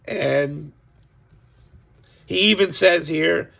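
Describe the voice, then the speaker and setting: A hoarse man talking candidly to his viewers in a casual vlog, complaining about a deal and warning them. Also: a little high, very slow, a bit bright. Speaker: sports card seller. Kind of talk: casual direct-to-camera vlog.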